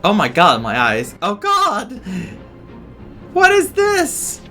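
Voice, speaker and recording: A man's voice: high voice, huge pitch swings, takes it slowly, pushed and bright, faint music behind. A man giving casual, amused, reactive commentary as he plays through a video game. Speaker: gaming streamer.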